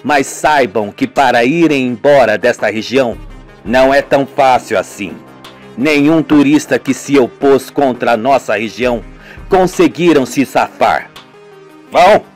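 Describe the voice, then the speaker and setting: A man voicing a menacing village cult elder who speaks in a slow, threatening way. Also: medium pitch, soft background music, pitched below the performer's own voice, natural voice.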